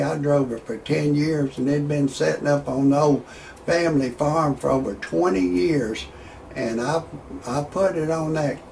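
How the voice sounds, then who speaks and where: Rambling sixties man, gravelly voice. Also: fairly high pitch, a little mellow, a little hiss or hum. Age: 60s. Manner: rambling, halting, with frequent "uh" fillers and restarts. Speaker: amateur online show host. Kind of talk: rambling monologue with stand-up jokes.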